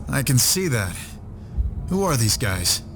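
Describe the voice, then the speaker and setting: A man voicing a gruff, plain-spoken ranger hero in a video game, speaking in a terse, businesslike way. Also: mid-range voice, very animated, a little slow, over music. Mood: terse, curious. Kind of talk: video game cutscene acting.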